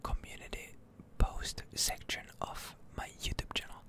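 A person whispering ASMR commentary over a video game, rambling a little and repeating words, close to the microphone. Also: unhurried. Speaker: gaming streamer, ASMR video host.

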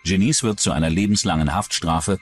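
A man narrating a scripted true-crime documentary in a measured, dramatic storytelling manner. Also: deep voice, faint music behind.

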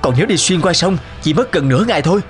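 An actor playing a brave, stubborn sled driver devoted to his dogs, earnest and dramatic, in an animated film. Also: on the high side, rapid-fire, lightly acted. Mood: determined. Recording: music behind the voice.

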